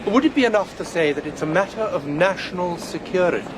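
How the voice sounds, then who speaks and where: Radio drama: guarded, well-mannered official, fairly high animated voice, formal delivery, slight noise behind. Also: male voice, fast. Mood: polite, guarded. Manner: hesitant, polite, formal. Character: discreet, courteous male government official.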